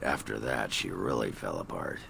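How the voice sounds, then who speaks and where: Casting: a gruff middle-aged dwarf warrior in a video game, lewd and halting, with a swinging, lively growl and faint background noise. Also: male voice, exaggerated voice. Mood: crude, bitter. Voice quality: deep, gravelly. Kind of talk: fantasy video game voice acting.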